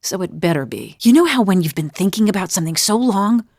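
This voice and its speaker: A woman's voice: mid-range voice, expressive, fast talker, a little mellow, dry recording, clean studio sound. A woman playing a weary, wry middle-aged adventurer in a video game, confiding in a plain-spoken way. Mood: wry, uneasy. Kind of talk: video game character dialogue.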